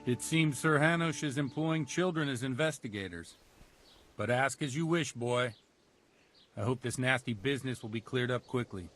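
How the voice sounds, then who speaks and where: A man acts out a village priest in a game cutscene, solemn and unyielding. His mid-pitched voice is measured and pious, with a fatherly condescension. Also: fairly bright tone, faint music behind, natural voice. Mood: wry. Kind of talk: video game cutscene acting.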